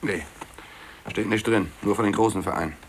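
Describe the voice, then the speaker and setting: A man's voice: on the low side, fairly quick, lightly acted. An actor playing a breezy, easygoing young male office clerk in a 1950s German radio play, with a casual, chatty and colloquial way of talking. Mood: upbeat. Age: young adult. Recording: faintly hissy 1950s radio play recording.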